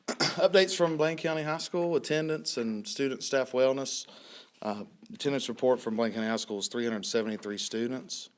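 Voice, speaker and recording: A man in his thirties, a high school principal, giving a report at a school board meeting while a little hoarse from a cold, clearing his throat often. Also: normal speed. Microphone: podium microphone.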